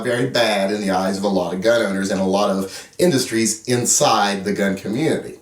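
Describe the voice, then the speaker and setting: A man's voice: on the low side, far from the mic. A middle-aged man with a bad cold, sniffly, giving a blunt, opinionated, unedited rant to camera as a gun channel host. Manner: blunt, opinionated, wry asides. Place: home video setup with static background. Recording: roomy sound, mic set back from speaker.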